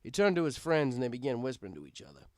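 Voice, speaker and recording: A man's voice: mid-range voice, big pitch swings, racing, dry recording, studio quality. A man reading a first-person story aloud as narrator, in a conversational, wry storytelling style.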